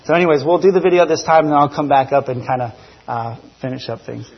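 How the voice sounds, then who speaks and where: A man in his thirties from New Zealand gives an informal church testimony, conversational and halting, often correcting himself. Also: a little high, muffled recording. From grew up in New Zealand. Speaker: missionary giving a church presentation. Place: church, speaking to a congregation. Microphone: church PA microphone.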